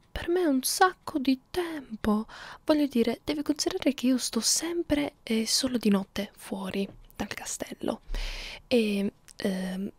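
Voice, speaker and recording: A woman voicing a hungry, clingy vampire girlfriend in an intimate ASMR roleplay, murmuring softly and slipping into breathy whispers. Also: fairly high pitch, no room sound, heavily acted. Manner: coaxing, halting, close-up murmuring with small hums. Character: hungry immortal female vampire girlfriend, clingy, teasing, predatory.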